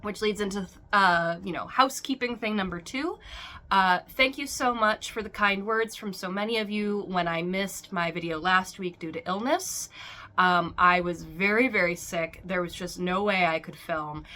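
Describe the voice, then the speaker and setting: A doll-collecting woman talks at home in a bright, somewhat sharp voice, rambling and circling back on herself. She is still recovering from illness, so she sounds hoarse and sniffly. Her pitch sits around the middle for a woman. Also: normal speed. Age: adult. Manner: rambling, chatty, with restarts. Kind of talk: casual chat to camera. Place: warm room at home with a ceiling fan.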